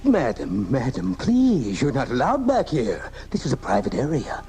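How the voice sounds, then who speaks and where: Mellow, swooping, fussy mortician. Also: male voice, medium pitch, wildly swooping pitch, moderate pace, a little hiss or hum. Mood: officious. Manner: formal, fussy, exasperated. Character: flustered, officious, polite male mortician. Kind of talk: 1980s live radio drama acting. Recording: home-taped 1980s radio broadcast, music behind.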